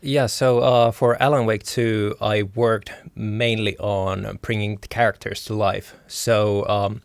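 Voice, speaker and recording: A man speaking as a guest in an interview, hesitant and pausing often with filler sounds. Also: fairly low pitch, level tone, creaky, clean tone, no echo, clean studio sound. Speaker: guest, audio designer. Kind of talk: casual interview chat.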